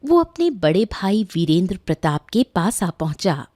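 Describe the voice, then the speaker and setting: A woman's voice: on the low side, sing-song, moderate pace, mellow, no echo, clean studio sound. A woman narrating a scripted moral tale for a cartoon, as a storyteller.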